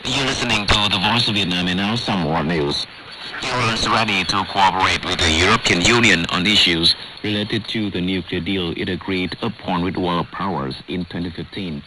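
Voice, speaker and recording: A man reading the news in a measured, formal way, on a radio broadcast. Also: low voice. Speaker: newsreader. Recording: noisy shortwave radio broadcast. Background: shortwave static and hiss.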